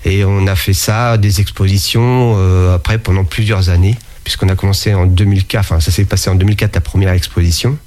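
A man with a fairly low voice talks in a relaxed interview. He stops often with fillers and restarts, and he explains things at length. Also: fairly quick, hardly any pauses. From France. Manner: hesitant, many fillers and restarts. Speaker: interviewee, sommelier and museum founder. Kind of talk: casual interview talk.

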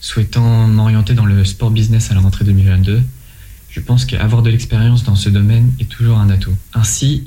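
A man in his twenties from France, answering interview questions in a measured way, often pausing and restarting. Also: on the low side, dark tone. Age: 20s. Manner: measured, with frequent hesitations and restarts. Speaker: interview guest, volunteer.

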